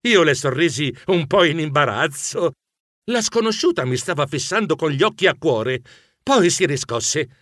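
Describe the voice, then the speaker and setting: A man voicing an anxious, bashful gentlemanly mouse who narrates his own adventure in a children's audiobook, expressive and fretful. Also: fairly high pitch, normal speed, no echo, clean studio sound. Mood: bashful storytelling. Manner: expressive, fretful, easily embarrassed.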